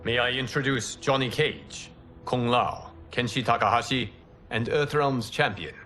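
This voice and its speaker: An actor playing a wise, calm fire-god mentor in a fantasy game, speaking formally and in measured phrases. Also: male voice, medium pitch, soft background music. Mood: courteous. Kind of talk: cinematic fantasy game acting.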